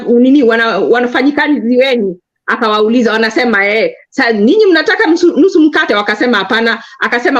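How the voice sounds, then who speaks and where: A woman's voice: fairly high pitch, muffled recording. A Kenyan woman with a Kenyan accent, talking animatedly about politics and switching between Swahili and English. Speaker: panelist. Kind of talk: political discussion.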